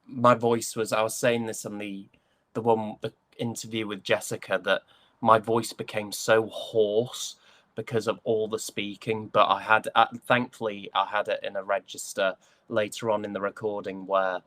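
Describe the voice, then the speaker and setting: A young man with a Northern English accent chatting casually as an interview guest, often pausing to find his words. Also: on the low side, flat delivery, fairly bright tone, no echo. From West Yorkshire, England.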